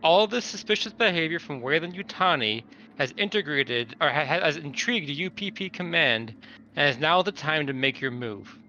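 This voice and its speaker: A man's voice: on the high side, lively intonation, moderate pace, pushed and bright. A man playing a tabletop game, talking casually and reading out character notes. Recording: dull lo-fi mic, faint noise.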